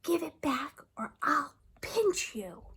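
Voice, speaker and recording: A pouty little girl from a storybook whines and protests in a high, loud, sing-song voice, as a woman puts her on. She sounds wronged and dramatic, stretching her complaints out slowly. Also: animated. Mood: dramatic whisper.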